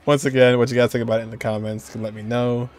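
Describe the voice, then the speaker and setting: A man's voice: mid-range voice, flat delivery, quick pace, darkish tone. A man in his twenties giving casual, off-the-cuff reaction commentary in a hesitant, noncommittal way. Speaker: reaction video host.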